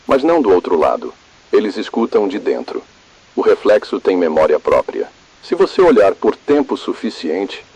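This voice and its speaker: A Brazilian man playing a grave, calm, ominous emergency broadcast announcer in a scripted analog horror, husky-voiced, solemn, measured and formal. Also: lowish voice, poor audio. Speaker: narrator.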